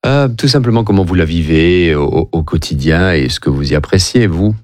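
A man's voice: deep voice, expressive, normal speed. A man hosting an interview, giving brief, polite prompts and questions. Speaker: interview host.

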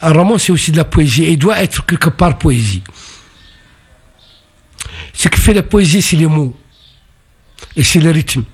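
A man from Mauritania whose first language is Arabic, a writer speaking as a radio interview guest in a thoughtful, halting way with many restarts. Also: fairly high pitch, animated, breathy edge.

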